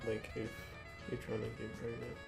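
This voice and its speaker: A man's voice: on the low side, completely flat delivery, normal speed, vocal fry, music in the background. A young man in casual chat, tossing in joking asides. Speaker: co-host.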